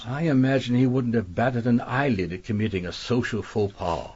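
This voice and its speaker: An actor as a husky, pompous, evasive gentleman. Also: medium pitch, moderate pace, muffled recording, lightly acted. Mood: smug, defensive. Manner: pompous, hesitant, stammering under pressure. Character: smug, evasive gentleman suspect, growing flustered. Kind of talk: radio mystery drama acting.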